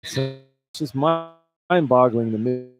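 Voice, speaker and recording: A man's voice: mid-range voice, slow pace, soft background music. A man giving informal, opinionated color commentary on a live basketball radio broadcast. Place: indoor college basketball arena.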